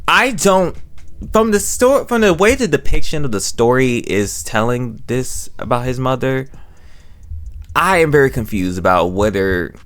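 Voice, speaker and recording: A man's voice: mid-range voice, very animated, unhurried, a bit bright. A man giving a casual, slangy review commentary, rambling, repeating his phrases and correcting himself as he goes. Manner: slangy, informal. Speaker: review channel host.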